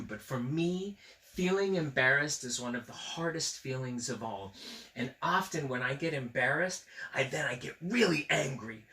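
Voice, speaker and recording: A man reads a picture book aloud to children with a bright voice and lively, swooping pitch. He is expressive and confiding, often stepping out of the story to ask the listener questions. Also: slightly rough. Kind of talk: animated read-aloud with friendly asides to children.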